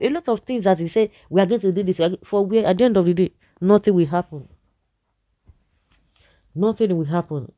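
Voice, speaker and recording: Nigerian commentator with a very dark, mellow voice. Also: medium pitch. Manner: exasperated, emphatic, repeats phrases for effect; slips between English and Nigerian Pidgin. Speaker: commentator reading and reacting to viewer comments. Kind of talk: informal opinionated news commentary.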